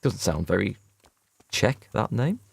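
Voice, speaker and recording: Male streamer, low and gravelly, casual running commentary, chats with his audience. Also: big pitch swings, a little slow, no room sound. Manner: chatty, reads out and answers viewer chat. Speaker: gaming streamer. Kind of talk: casual let's play commentary.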